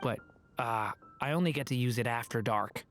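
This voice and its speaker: Soft earnest boy, former dragon, low for her, game cutscene. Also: normal speed, over loud music. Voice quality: soft-spoken, earnest, hesitant. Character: gentle young boy who was once a dragon. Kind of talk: game voice acting.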